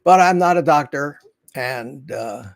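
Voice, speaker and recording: A man's voice: fairly high pitch, expressive, a little slow, gravelly, dry recording, clean studio sound. A man in his seventies hosting a casual livestream, chatting in a rambling, folksy way and answering viewers. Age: 70s. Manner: rambling, folksy, reads viewer comments aloud. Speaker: livestream host. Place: his home in Florida.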